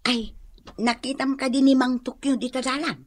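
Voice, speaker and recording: A woman's voice: high-pitched, little pitch movement, normal speed. An actress playing a nagging, motherly village storekeeper in an Ilocano radio drama, chiding and colloquial. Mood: wary.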